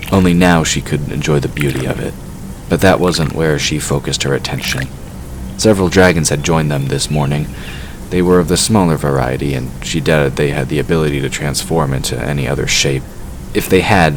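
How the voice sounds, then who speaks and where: Male narrator for fantasy audio drama: exceptionally low voice, scripted storytelling, clear ambient noise behind.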